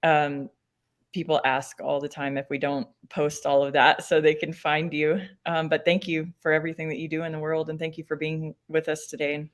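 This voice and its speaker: A woman hosts an online talk show over a video call. She speaks in a slightly low voice, effusive and quick to thank her guest. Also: monotone. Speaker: host.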